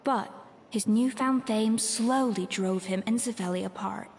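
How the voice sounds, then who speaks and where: A woman's voice: fairly high pitch, slight background noise. An actress voicing a proud, troubled young female chef in a video game, reflective and articulate. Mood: somber, reflective. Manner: measured, articulate, pensive storytelling. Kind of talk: scripted video game voice acting. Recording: long reverb, music behind. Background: game music.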